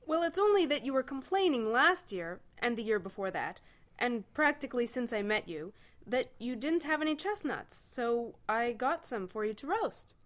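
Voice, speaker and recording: A woman voicing a blunt, excitable male alien hitchhiker in a read-aloud story, brash and eager. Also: on the high side, normal speed, voice pushed up, close to an everyday voice. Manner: brash and eager, swinging between pushy and sheepish. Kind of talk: storybook reading.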